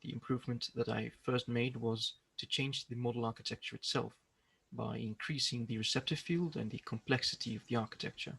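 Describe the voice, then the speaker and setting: A man giving a prepared research presentation in a measured, explanatory way. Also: mid-range voice, vocal fry, a bit bright, breathy edge.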